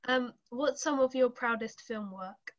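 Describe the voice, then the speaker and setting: A woman's voice: fairly high pitch, unhurried. A woman asking interview questions tentatively, filling them with likes and ums. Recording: dull lo-fi sound.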